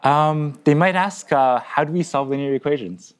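A man's voice: on the high side, normal speed, smooth. A young man giving a casual live product demonstration. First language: English. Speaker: product demo presenter. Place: studio set, indoors.

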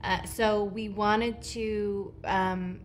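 A woman actor, raised in Los Angeles, answering interview questions in a thoughtful, measured way with pauses and fillers. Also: medium pitch, fairly even intonation, a little hiss or hum. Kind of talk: press junket interview. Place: studio set.

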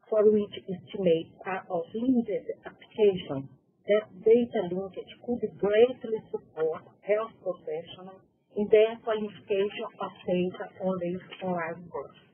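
A woman's voice: medium pitch, slightly rough. Brazilian-accented woman reading a talk. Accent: Brazilian Portuguese. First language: Portuguese. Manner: careful, halting reading with self-corrections. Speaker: conference presenter. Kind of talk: reading a prepared presentation. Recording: tinny phone-like conference audio.

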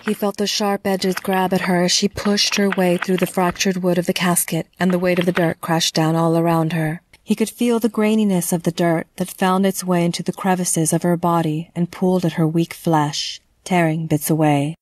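A woman narrating a scripted horror story in a measured, dramatic storytelling manner. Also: fairly low pitch, little pitch movement, a little mellow, no echo.

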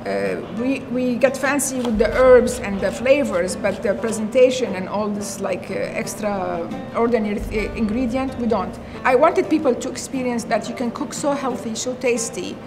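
A middle-aged Syrian-accented woman speaks with earnest animation, scattering "you know" through her story. Her voice sits a little high. Clear music plays underneath the interview. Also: moderate pace, few pauses, poor audio. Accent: Syrian Arabic. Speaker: chef and restaurant owner. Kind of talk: documentary interview. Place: empty restaurant dining room.

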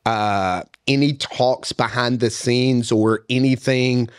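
A man in his thirties co-hosting a casual podcast interview, asking rambling questions full of fillers into a studio boom mic. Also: fairly even intonation, slow, clean tone, no echo. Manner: laid-back, rambling questions with many fillers. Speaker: podcast co-host. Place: studio set with couch. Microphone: boom mic with headphones.

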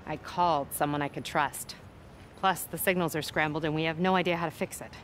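Female cop in charge: deep for a woman, clipped, dry, video game drama. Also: fairly even intonation, fairly quick, a bit bright, slight background noise, low-quality recording. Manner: clipped, businesslike. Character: no-nonsense, dry female police captain. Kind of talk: cinematic video game acting.

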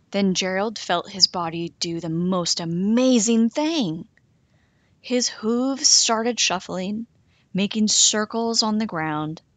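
A woman reading a rhyming picture book aloud for children, slow and expressive with a sing-song lilt. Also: mid-range voice, no room sound. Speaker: storytime reader.

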